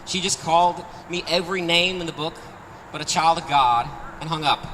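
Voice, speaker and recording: A man's voice: on the high side, normal speed, pushed and bright. Man storytelling, distant and echoing. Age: 30s. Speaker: author reading his own short story. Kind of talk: live story reading, conversational first-person narration. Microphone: handheld microphone, picked up from afar. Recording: distant, reverberant live recording with street noise.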